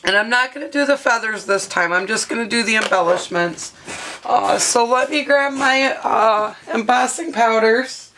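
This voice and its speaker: A hoarse woman in her thirties, her voice partly lost, chatting casually with many fillers as she talks through a craft tutorial at her home studio desk. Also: a little high, normal speed, sharp tone, a little hiss or hum, rough recording. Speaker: craft video host. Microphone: overhead camera mic, speaker off-frame.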